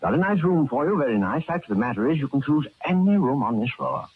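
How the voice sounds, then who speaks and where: A man's voice: medium pitch, lively intonation, fast talker, slightly rough. An actor playing a fussy, falsely kindly, sinister older employer who dithers and repeats his phrases, in old-time radio drama style. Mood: slyly genial. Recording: muffled, tinny old radio recording.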